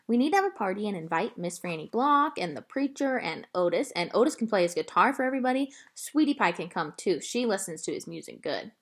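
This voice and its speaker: An earnest young girl from a children's storybook, acted by a woman, wistful yet eager. Her speech is expressive and pleading, swinging widely in pitch. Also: fairly quick, hardly any pauses. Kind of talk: children's audiobook character voice.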